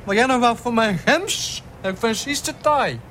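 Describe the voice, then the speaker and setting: A man's voice: very high voice, animated, normal speed, fairly bright tone, a little hiss or hum. An actor playing a fussy, easily startled man on a plane in a satirical radio play. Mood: fussy, surprised.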